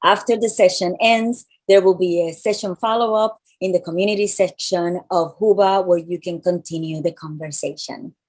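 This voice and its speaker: A woman with a mild Spanish accent and a deep voice reads welcome notes at a measured pace. She sounds like a host on a video call, speaking from a large room. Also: steady tone, a little mellow. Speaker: event host. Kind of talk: reading scripted welcome announcements.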